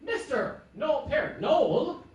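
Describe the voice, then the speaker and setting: A woman's voice: high voice, big pitch swings, slow pace, darkish tone, heavily acted. A woman playing an exuberant, teasing game show host in a theatrical live comedy show. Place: live theatre stage before an audience. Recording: roomy stage recording from far off, faint noise.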